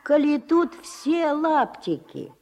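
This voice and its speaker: Very slow-spoken old village woman. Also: high voice, sing-song, darkish tone, heavily acted. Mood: matter-of-fact, folksy. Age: older. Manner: unhurried, folksy rural speech. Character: small, wizened old village woman with a basket. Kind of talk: Soviet radio drama acting. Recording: dull, lo-fi 1970s radio recording.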